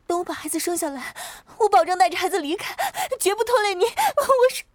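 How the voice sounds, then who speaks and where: Very high-pitched, distraught village maiden. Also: female voice, animated, a little fast, no room sound. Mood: pleading hope. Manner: plaintive, distraught. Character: desperate, jilted pregnant young village woman. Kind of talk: Chinese period audio drama acting.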